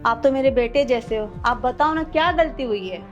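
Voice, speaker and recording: A woman's voice: fairly high pitch, normal speed, highs cut off, natural voice. A woman playing a wronged mother-in-law in a melodramatic family drama, respectfully and persistently pleading for an explanation. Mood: imploring. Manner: imploring, respectful, persistent questioning. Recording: dramatic music underneath.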